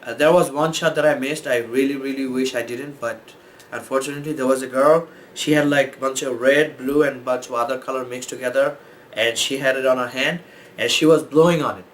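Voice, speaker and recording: A man from Bangladesh, a photographer, giving casual tips in a relaxed, rambling way with many fillers and restarts. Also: medium pitch, fairly quick, slight background noise, low-quality recording. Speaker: photographer and host. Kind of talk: casual explainer talk.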